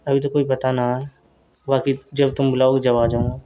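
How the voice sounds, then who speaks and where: A man acting as a flirty brother-in-law on a casual phone call, teasing and coaxing. His voice is dark and fairly monotone, with clear noise and clicks on the recording. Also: mid-range voice, moderate pace, natural voice.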